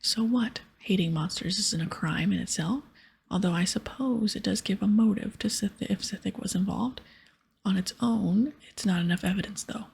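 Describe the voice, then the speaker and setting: A woman voicing a skeptical, matter-of-fact wizard guild official from a fantasy game in a breathy, whispery voice. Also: mid-range voice, normal speed, darkish tone. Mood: doubtful.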